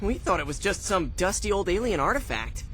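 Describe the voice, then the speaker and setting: A man's voice: high-pitched, very animated, brisk, fairly bright tone. An actor playing a brash, impulsive young male hero in a video game cutscene. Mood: eager. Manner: eager, impulsive.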